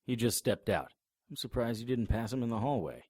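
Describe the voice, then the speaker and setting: A man's voice: mid-range voice, lively intonation, brisk, darkish tone, husky, dry recording. A man narrating and acting the lead in a noir audio drama, dry and wry in manner.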